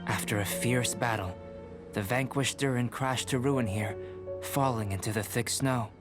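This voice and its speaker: Man acting a calm scholarly young alchemist, formal and measured. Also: mid-range voice, music in the background, lightly acted. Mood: musing. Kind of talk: video game dialogue acting.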